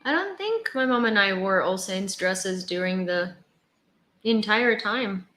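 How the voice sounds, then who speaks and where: A young woman from Ukraine hosts a relaxed livestream, rambling through chat with lots of fillers. Her voice is bright and a little tense, and it rings in an echoey room. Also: mid-range voice, a little slow. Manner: chatty, rambling, many fillers and restarts. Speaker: livestream host. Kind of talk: casual livestream chat, reading viewer comments.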